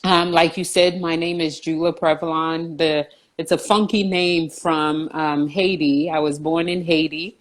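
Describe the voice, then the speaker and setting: Woman in her 40s, low voice, takes her time, earnest and measured, lots of ums. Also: fairly even intonation. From New Jersey, USA. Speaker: guest counselor. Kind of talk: casual video chat interview.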